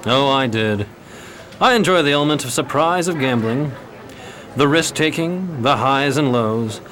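A man playing a courtly, uneasy gentleman gambler in a 1920s period radio drama, speaking formally. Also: mid-range voice, animated, measured pace, a little hiss or hum.

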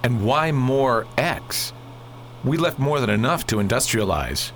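A man playing a steady, cautious engineer sidekick with clipped, level-headed speech in a space opera radio drama. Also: medium pitch, measured pace, slight background noise. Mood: questioning.

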